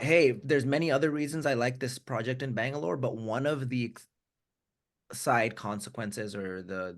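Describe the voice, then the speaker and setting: A man in his thirties, from India, speaks with an American accent in a fairly low voice. He tells his story frankly and reflectively over a video call, often repeating words as he restarts his sentences. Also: gravelly, fairly bright tone. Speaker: guest. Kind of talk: candid conversational storytelling.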